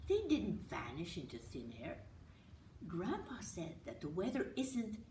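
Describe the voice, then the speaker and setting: A woman's voice: on the low side, huge pitch swings, unhurried, dark tone. A woman voicing an earnest older brother, a boy who leads and explains, in a children's storybook reading. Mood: earnest explaining. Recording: roomy, distant mic with hiss.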